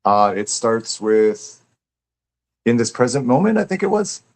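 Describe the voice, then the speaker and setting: A man speaking casually as an interviewed poet, reflective and halting as he searches for his words. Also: mid-range voice, sing-song. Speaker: poet and author being interviewed. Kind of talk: casual conversation about own writing. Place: live online stream.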